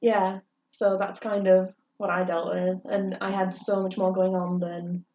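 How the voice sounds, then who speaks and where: A woman's voice: medium pitch, level tone, unhurried, warm tone. A young woman co-hosting a podcast, telling her own story in a candid, rambling way with many hesitations. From England. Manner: earnest, rambling, frequent hesitations. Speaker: podcast co-host. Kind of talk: candid personal chat. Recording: roomy, distant, dull with faint hiss.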